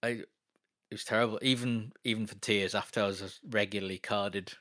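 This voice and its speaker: A man's voice: fairly low pitch, steady tone, fairly quick, a bit bright, no echo, clean studio sound. A man, chatting as an interview guest, rambling and self-deprecating with lots of fillers. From North East England. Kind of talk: casual interview chat.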